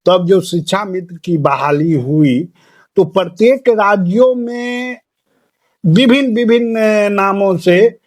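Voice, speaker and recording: A man putting questions in a phone discussion, with deliberate, formal phrasing. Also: high-pitched, no echo, studio quality. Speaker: interviewer. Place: recorded audio call.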